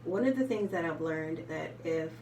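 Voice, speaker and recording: A soft-spoken woman with a slightly low voice talks in a loose, rambling way, full of ums and you knows. She sits a little back from the mic in an echoey room with faint ambient noise behind her. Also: expressive, moderate pace. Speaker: vlogger. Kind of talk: casual chat while doing makeup. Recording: roomy, slightly distant home recording.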